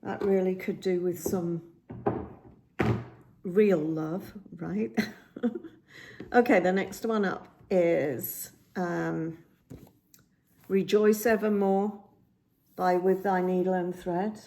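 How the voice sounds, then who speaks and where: Slow, croaky-voiced woman chatting about stitching. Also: fairly low pitch, steady tone, warm tone. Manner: rambling, many ums and restarts. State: slightly croaky voice. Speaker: cross stitch vlogger. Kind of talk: casual show-and-tell chat. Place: indoors at home by a window. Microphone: phone camera.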